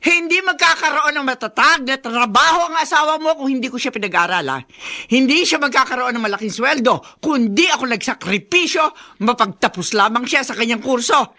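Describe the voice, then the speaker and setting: A bossy middle-aged Filipino mother-in-law in a radio drama. Her voice is high and piercing, with big swings in pitch. She nags and accuses with a sharp tongue. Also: lively intonation, fairly quick, heavily acted. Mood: offended, accusatory.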